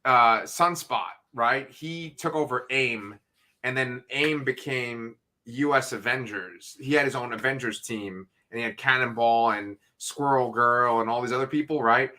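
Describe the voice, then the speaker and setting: A middle-aged man co-hosting a casual live chat, excitable and swearing freely as he explains things. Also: medium pitch, measured pace. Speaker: co-host.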